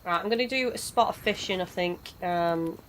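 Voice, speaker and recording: A woman's voice: on the low side, moderate pace, fairly bright tone, a little hiss or hum, low-quality recording. A young woman chatting through a live game stream, greeting viewers as she plays, her voice worn from losing it lately. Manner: chatty, rambling, chats with viewers. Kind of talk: live gameplay commentary.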